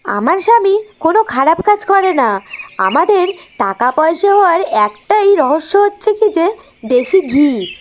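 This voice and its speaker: A woman playing a naive village wife in a cartoon, speaking in a deliberate, confiding way over faint background noise. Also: very high voice, big pitch swings, heavily acted. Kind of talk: Bengali cartoon voice acting.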